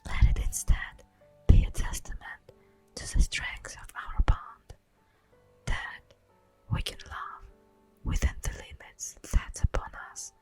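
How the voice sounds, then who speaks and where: Slow whispering elf woman, acted by a woman. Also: darkish tone, close to an everyday voice. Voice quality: breathy unvoiced whisper. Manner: slow, formal, poetic, drawn-out delivery. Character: solemn, tender female elf guardian of the forest. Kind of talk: whispered ASMR roleplay monologue.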